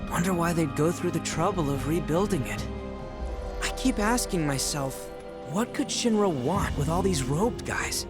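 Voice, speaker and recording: A woman's voice: lowish voice, lively intonation, moderate pace, close to an everyday voice. Musing young woman played by an actress, music behind. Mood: pensive. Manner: musing, polite. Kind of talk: video game cutscene acting.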